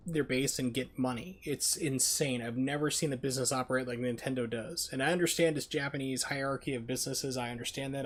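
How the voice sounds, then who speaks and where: A man streaming a video game, giving casual, opinionated and emphatic commentary as he plays. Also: mid-range voice. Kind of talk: casual live-stream commentary while playing.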